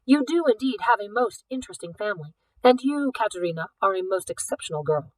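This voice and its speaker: A woman voicing a suave, wealthy older male art collector in a storybook, speaking in a formal, measured, indulgent way. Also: mid-range voice, expressive, no room sound, close to an everyday voice, low-quality recording. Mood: smooth, courteous.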